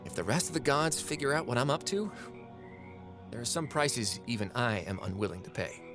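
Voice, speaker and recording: A man plays a cheeky minor god in a fantasy audio drama, all sardonic, casual banter and wheedling. His voice sits at an ordinary male pitch, with music clearly playing behind him. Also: expressive, brisk, lightly acted. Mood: grudging, wary. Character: flippant, fast-talking minor trickster god, lately a frog.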